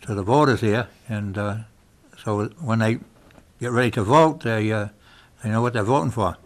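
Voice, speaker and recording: Folksy town board member, lowish, rambling. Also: male voice, moderate pace. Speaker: selectman. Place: town hall meeting room.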